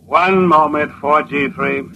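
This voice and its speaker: Officious radio operator, noisy transmission. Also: male voice, on the high side, vocal fry, sharp tone, dull audio, heavily acted. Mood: officious, impatient. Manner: brisk, bureaucratic. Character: officious male starship radio operator, by-the-book. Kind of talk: 1950s American radio drama acting.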